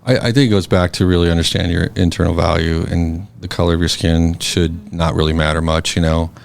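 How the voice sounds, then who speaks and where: A man's voice: low voice, steady tone, a little fast, creaky. A man speaking as a podcast guest, talking things through in a reflective, easygoing way. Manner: reflective, many fillers and restarts. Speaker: guest, scholarship foundation board president. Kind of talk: casual podcast conversation.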